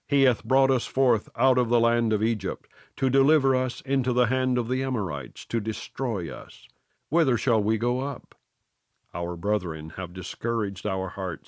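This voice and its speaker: Solemn, lively-pitched male scripture reader. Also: dry recording, clean studio sound. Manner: measured, solemn phrasing, line by line. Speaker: Bible reader.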